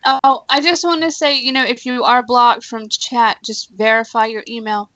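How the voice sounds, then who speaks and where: A woman co-hosting a live-streamed chat, throwing in quick, chirpy quips. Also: on the high side, steady tone, pushed and bright, no echo, lo-fi sound. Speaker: co-host. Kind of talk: casual chat.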